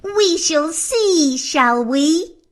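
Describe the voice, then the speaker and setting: A woman's voice: high voice, lively intonation, extremely slow, full character voice. A woman playing a vain, spiteful young stepsister in a children's fairy tale, haughty and demanding. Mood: proud, huffy.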